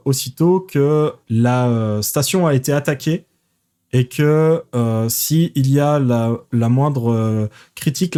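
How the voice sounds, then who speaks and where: A man's voice: mid-range voice, dark tone. Game master guy, middle voice, lots of ums while he describes stuff. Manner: hesitant, many fillers. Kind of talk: narrating a tabletop game.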